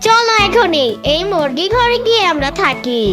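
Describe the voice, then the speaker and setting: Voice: very high voice, very animated, normal speed, over music. A performer voicing a young, earnest village boy in a children's cartoon, plaintive and easily delighted.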